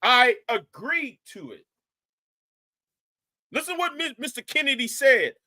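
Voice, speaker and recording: Bright, excitable live stream host. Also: male voice, very high voice, a little slow. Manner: excitable, repeats and restarts phrases, bursts out loud. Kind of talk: reaction commentary on a live stream.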